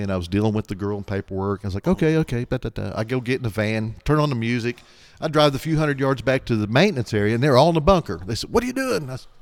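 Easygoing middle-aged man telling stories. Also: medium pitch. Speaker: podcast guest, air traffic controller. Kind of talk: casual anecdotal storytelling.

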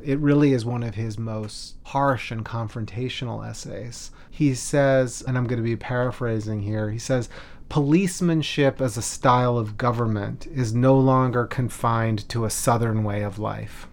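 Man, mid-pitched voice, scholarly interview commentary, measured and emphatic delivery. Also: fairly even intonation, a little mellow.

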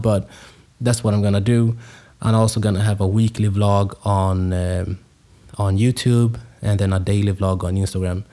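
A young man speaks in a fairly low, mellow voice. He hosts his own podcast casually, wandering through his thoughts with plenty of fillers and self-corrections. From Congo, raised in Sweden. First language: Swedish. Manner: relaxed, rambling, many fillers and restarts. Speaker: podcast host, music producer. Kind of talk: casual solo podcast intro.

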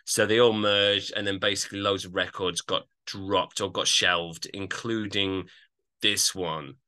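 A male podcast co-host with a lowish pitch and a very bright, forward voice. He chats casually about music. His manner is agreeable, often repeating short affirmations. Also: fairly even intonation, a little slow.

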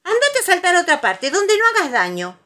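A woman's voice: high voice, sing-song, normal speed, bright, forceful tone, voice pushed up, heavily acted. A woman voicing a bossy male rabbit who scolds indignantly in a children's storybook. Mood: angry, scolding.

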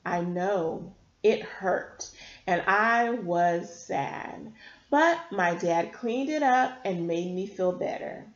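A woman doing a gentle puppet firefighter. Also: lowish voice, slow pace, echoey room, lo-fi sound.

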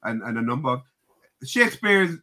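Bright-voiced Jamaican man, halting and animated. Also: medium pitch. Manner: stammering restarts, animated, often asks "right?". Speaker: interview host. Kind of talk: casual interview chat.

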